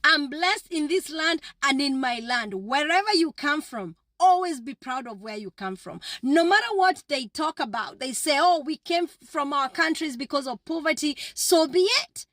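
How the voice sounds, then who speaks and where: A woman's voice: sits high, animated, moderate pace, hardly any pauses, a bit bright, no room sound, clean studio sound. A woman giving a motivational address to her viewers in an emphatic, repetitive, preacher-like manner. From Kenya. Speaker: vlogger.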